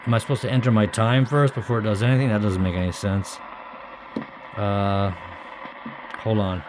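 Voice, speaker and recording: A man's voice: lowish voice, level tone, moderate pace. A man thinking aloud in a puzzled mutter, giving casual, unscripted hands-on commentary as a hobbyist. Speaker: hobbyist demonstrating a radio. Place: indoors at a wooden tabletop. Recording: noisy room with radio static. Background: radio noise.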